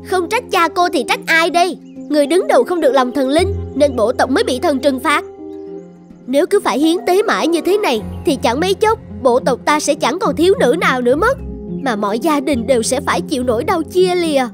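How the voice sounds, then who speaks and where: A woman voicing a resentful young village girl in a cartoon fairy tale, speaking with sharp, sarcastic reproach. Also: high voice, animated, fairly quick, over music. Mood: accusing.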